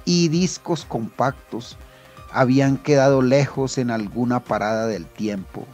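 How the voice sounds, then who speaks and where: A man reading scripted literary narration in a measured storytelling manner. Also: mid-range voice, a little mellow, soft background music.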